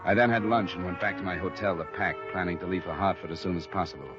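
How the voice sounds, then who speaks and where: An old, dull-sounding radio drama recording with prominent music. A man acts a terse, weary American insurance investigator with a very deep voice. He speaks in clipped, matter-of-fact phrases and keeps his guard up. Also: steady tone, fast talker, natural voice. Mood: weary narrating. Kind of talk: 1950s radio detective drama with first-person narration.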